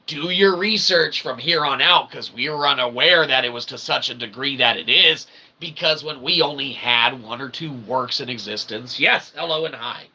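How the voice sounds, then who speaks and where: A man's voice: mid-range voice, normal speed, sharp tone, husky, low-quality recording. A man hosting a vlog in a casual, rambling monologue, slangy and sarcastic with joking asides. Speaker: vlog host and self-published author. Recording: faint background noise.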